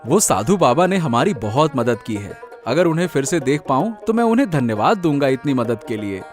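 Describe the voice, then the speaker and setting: A man voicing an eager, kind young village son in a cartoon, excitable and prone to stammering. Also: mid-range voice, moderate pace, faint music behind, lightly acted. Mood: earnest. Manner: excitable, stammers when flustered. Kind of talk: Hindi cartoon dubbing.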